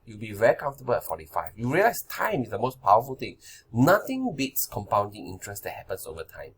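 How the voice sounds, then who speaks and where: Husky guy around forty explaining investing off slides, keeps saying "right? okay?". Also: on the low side, wildly swooping pitch, fast talker. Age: 40s. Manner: loose, repetitive, checks in with "right?" and "okay?". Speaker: finance presenter. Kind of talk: casual slide-based money lesson.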